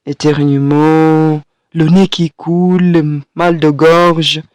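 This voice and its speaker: A woman in her thirties talks straight to her listener in a solo studio podcast, her voice hoarse and husky from a cold. She speaks very slowly and gently, with encouraging warmth and many small hesitations. The recording is dry and close, with no room sound. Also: low voice, flat delivery, no echo. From Kenya. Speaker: podcast host and French teacher. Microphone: studio microphone.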